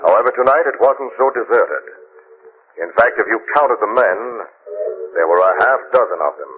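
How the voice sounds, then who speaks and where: Measured old-time radio narrator guy actor, suspense story, muffled noisy recording. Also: fairly low pitch, expressive, lightly acted. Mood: suspenseful. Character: measured male storyteller setting a suspenseful scene. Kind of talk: scripted 1940s radio narration.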